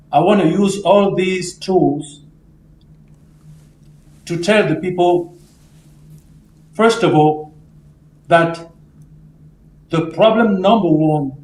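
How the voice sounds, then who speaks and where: A man in his sixties from Cameroon, a native French speaker with a Francophone Cameroonian accent, giving earnest, deliberate political commentary as a guest. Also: on the high side, a little mellow. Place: indoor room on a video call. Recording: echoey room, noisy video call.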